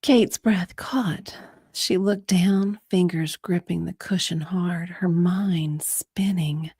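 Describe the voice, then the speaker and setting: A woman narrating a dramatic romance reading in a breathy way, often dropping to a whisper. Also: lowish voice, fairly even intonation, slow, mellow, no room sound, clean studio sound.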